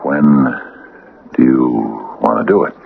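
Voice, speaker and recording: A reluctant small-town conspirator, a man with an extremely low, creaky voice. He questions everything in halting, uneasy phrases, heard on a thin, noisy radio recording. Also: heavily acted. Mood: uneasy, doubtful. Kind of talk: old-time radio drama acting. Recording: tinny, noisy 1980 radio broadcast recording.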